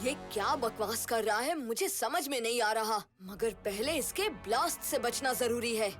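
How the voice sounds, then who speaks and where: A woman's voice: fairly high pitch, normal speed, natural voice. A woman playing a brave, confident young boy superhero cop in a cartoon, with a bold, declamatory delivery. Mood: puzzled. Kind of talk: cartoon hero dubbing. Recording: loud cartoon music behind.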